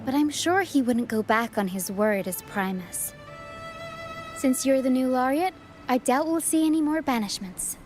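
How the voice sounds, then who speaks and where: A woman's voice: high-pitched, normal speed, a little mellow, natural voice. A woman playing a spirited, caring and brave young theatre-troupe acrobat in a video game. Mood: earnest, reassuring. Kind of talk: video game character acting. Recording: music behind the voice.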